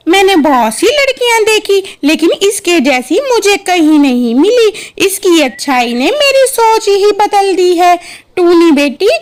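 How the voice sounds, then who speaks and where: A woman voicing a cranky, fault-finding older mother-in-law bird with a bossy, drawn-out cartoon delivery. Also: very high voice, full character voice. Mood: remorseful, tender.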